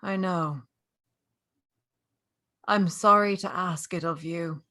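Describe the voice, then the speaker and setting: A woman acts out a regretful figure of authority in an audio drama. Her fairly low voice is measured and deliberate, taking the lines very slowly. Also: bright, forceful tone. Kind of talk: table read of an audio drama script.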